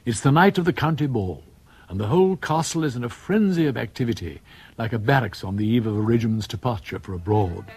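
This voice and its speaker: An actor playing a dry, droll male storyteller with an English accent, narrating a radio play, his voice husky. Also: medium pitch, animated, fast, a little mellow. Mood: confiding. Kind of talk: scripted radio drama narration.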